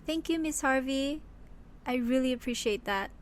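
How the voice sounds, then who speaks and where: A woman's voice: high voice, normal speed, natural voice. A woman playing a deferential, formal female underling in a crime audio drama, with an earnest, careful manner. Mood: grateful. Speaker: voice actor. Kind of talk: audio roleplay drama acting.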